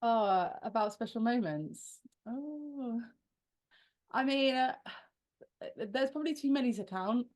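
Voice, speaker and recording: A woman's voice: fairly high pitch, expressive, takes it slowly, pauses often. Young woman rock singer in an interview, chatty and self-deprecating, lots of restarts and laughs. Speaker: rock band frontwoman and singer. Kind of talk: casual interview answers.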